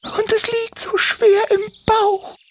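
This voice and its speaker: A man voicing a small, sick female thrush in a children's story, with a high, weak piping voice that whimpers and complains. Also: little pitch movement, takes it slowly, a little hiss or hum, full character voice. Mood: plaintive. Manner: whimpering, plaintive. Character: tiny sick female thrush bird, meek.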